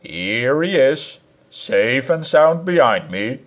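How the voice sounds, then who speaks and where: A man doing a gruff, mocking professor. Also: sing-song. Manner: rumbling, teasing, slow and drawn out. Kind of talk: audiobook character reading.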